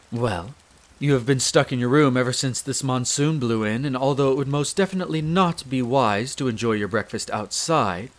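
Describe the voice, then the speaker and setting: A man's voice: medium pitch, lightly acted. Man playing a formal, deferential castle butler. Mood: gently suggesting. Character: courteous, devoted male head servant of a vampire castle. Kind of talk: scripted roleplay audio drama, addressing the listener.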